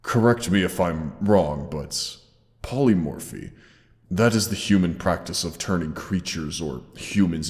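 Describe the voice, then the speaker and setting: Man acting a deep, slow, caring dragon. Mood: intrigued. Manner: slow, formal, tender, with dramatic pauses. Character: gentle, caring old male dragon, fond and noble. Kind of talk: roleplay audio drama, scripted monologue. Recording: long cavernous reverb.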